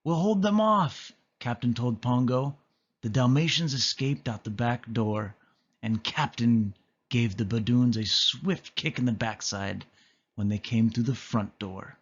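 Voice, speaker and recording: A man reading a children's story aloud as an expressive narrator. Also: mid-range voice, unhurried, husky, dull audio. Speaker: storybook reader.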